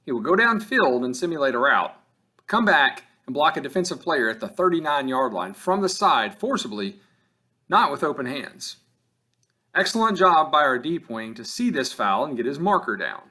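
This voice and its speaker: Man narrating with higher, lively pitch. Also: moderate pace. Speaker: football officiating instructor. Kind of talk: scripted instructional narration over game film.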